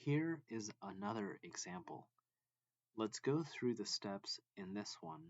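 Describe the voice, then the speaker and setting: A man reading a math textbook lesson aloud as a tutor, deliberately and in short phrases. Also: mid-range voice, big pitch swings, creaky, no room sound, highs cut off.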